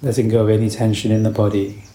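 A man leading a guided meditation as a teacher, calm, unhurried and soothing in his instruction. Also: lowish voice, reverberant.

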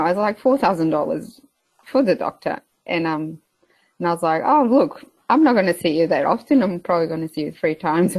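A woman's voice: lowish voice, no echo, low-quality recording. A woman in her thirties from Portugal with a Portuguese accent, talking casually as an interview guest, hesitant with many fillers. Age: 30s. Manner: hesitant, many fillers, laughs between phrases. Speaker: guest, beef farmer.

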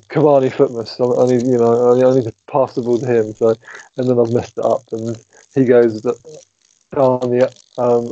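A man's voice: mid-range voice, warm tone, muffled recording. A man co-hosting a casual podcast, talking hesitantly with many ums, recorded on an old phone headset. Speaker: podcast co-host.

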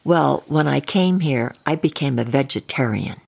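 Woman doing gentle calm wolf, low soft voice, storybook protector. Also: moderate pace, gravelly, breathy edge, exaggerated voice.

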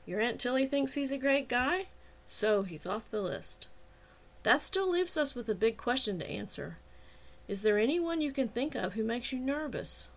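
Audiobook role: persuasive, teasing policeman, high-pitched for a man read by a female narrator, reasonable and persistent. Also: very animated, moderate pace. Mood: placating. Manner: persuasive, coaxing. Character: persistent, teasing male cop, cajoling and reasonable. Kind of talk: audiobook character dialogue.

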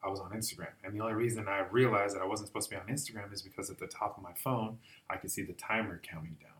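A man's voice: fairly low pitch, steady tone, rapid-fire, a bit bright. A young man who works as a life coach, talking earnestly and emphatically in a casual chat.

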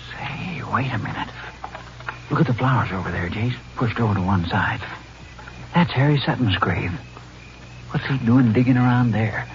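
An actor playing a plainspoken small-town sheriff in an old radio drama, speaking in a blunt, folksy way. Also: male voice, medium pitch, lively intonation, normal speed, long pauses, natural voice. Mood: hushed. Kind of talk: 1950s radio drama acting. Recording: muffled old radio broadcast with hiss.

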